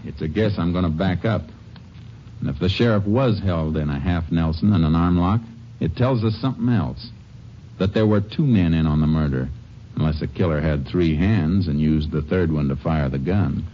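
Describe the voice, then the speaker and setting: An actor playing a calm, shrewd Texas Ranger investigator in a 1950s radio drama, talking in a steady, deliberate way. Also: male voice, sits low. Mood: calm, probing. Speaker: lead actor. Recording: dull old radio recording with faint hiss.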